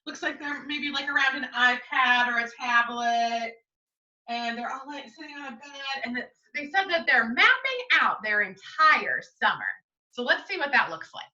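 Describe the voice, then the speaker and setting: An American woman, a school teacher, hosting a storytime for young children in an expressive sing-song way, asking them questions as she goes. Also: high-pitched, moderate pace. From United States. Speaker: school teacher reading to her young pupils. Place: indoors at home. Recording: roomy echo, distant mic, slightly dull sound.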